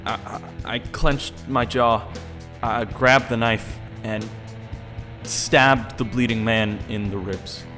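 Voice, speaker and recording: A man playing an impulsive teenage boy in a gang, recounting his story in a halting, dramatic way. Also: medium pitch, fairly even intonation, music in the background, natural voice. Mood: tense. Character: impulsive show-off teenage boy gang member, uneasily confessing. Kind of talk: scripted dramatic first-person narration.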